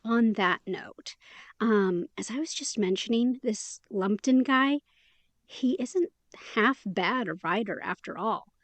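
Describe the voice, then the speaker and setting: A woman's voice: on the high side, slow pace, no echo. A woman playing a chatty, upbeat young woman in an immersive audio drama, talking in a casual, playful, slangy way.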